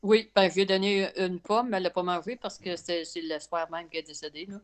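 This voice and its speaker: A woman from Canada with a Québécois accent, a caller on a video call telling her story in a halting, plain-spoken way. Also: on the low side, steady tone. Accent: Québécois French.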